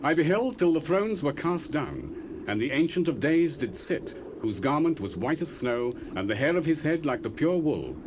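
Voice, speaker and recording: An actor playing a devout, faithful prophet, declaiming visions solemnly in a 1970s dramatized audio drama, from a hissy cassette tape. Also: male voice, fairly high pitch, sing-song, moderate pace. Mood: awed.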